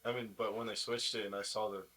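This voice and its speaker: Young guy athlete chatting in an interview, kinda low voice, pretty monotone. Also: fast talker. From United States. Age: young adult. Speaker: baseball player, pitcher, interview guest. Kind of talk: casual interview chat.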